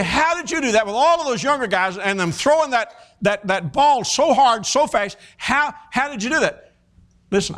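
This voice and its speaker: A man in his fifties preaching a sermon, folksy and animated, telling stories with many fillers and restarts. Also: sits high.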